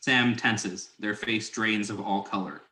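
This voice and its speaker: An echoing, roomy recording carries a man's scripted narration. His voice is fairly low as he reads out stage directions. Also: steady tone, slow, bright, forceful tone, slight background noise.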